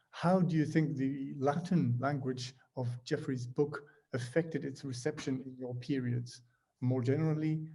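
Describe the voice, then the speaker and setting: Male panel chair, warm dark tone, reads questions with hesitant fillers. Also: mid-range voice, low-quality recording. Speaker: session chair. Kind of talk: reading out audience questions.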